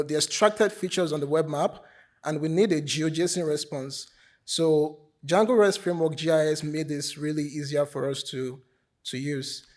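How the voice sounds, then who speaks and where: A man giving an informal lightning talk at a conference as a software developer, sometimes repeating his words. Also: fairly high pitch. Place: conference stage.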